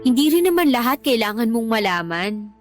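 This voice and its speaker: Woman acting a high-voiced, defensive live-in girlfriend. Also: sing-song, a little fast. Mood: uneasy confessing. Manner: defensive, whining, argumentative. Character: defensive, easily irritated live-in girlfriend hiding debts. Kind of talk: Tagalog radio drama acting. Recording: dramatic music bed behind the voice.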